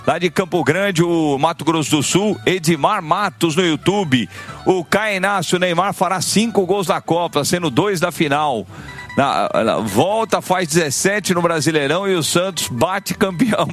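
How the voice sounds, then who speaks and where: A man's voice: a little high, unhurried, fairly bright tone, soft background music. A man with a Brazilian Portuguese accent hosting a live radio sports call-in show, chatting and reading listener messages aloud.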